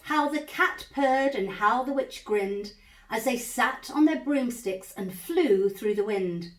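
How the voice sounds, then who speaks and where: A woman reading a bedtime story aloud to young children in an animated, measured, dramatic way. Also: fairly high pitch. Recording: roomy echo, distant microphone.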